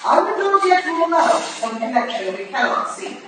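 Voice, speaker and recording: A woman's voice: sits high, very animated, moderate pace. A woman playing a shrewd, formal older female detective in a staged reading of a Victorian drama, with a clipped, imperious manner. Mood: coolly analytical. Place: live staged reading in a roomy indoor venue. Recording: echoey hall from far off, noisy.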